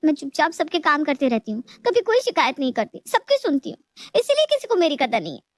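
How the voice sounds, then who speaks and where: A woman playing a put-upon, scheming young daughter-in-law in an animated moral story, with a plaintive, exaggerated delivery. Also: sits high, quick pace, no echo, clean studio sound. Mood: hurt, resentful.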